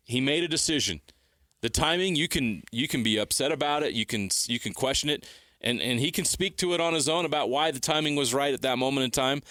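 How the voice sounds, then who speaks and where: A man's voice: medium pitch, fairly bright tone, no room sound. A man co-hosting sports talk radio, insistent and blunt, driving opinions home in short repeated phrases on a close studio mic. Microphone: close broadcast studio mic.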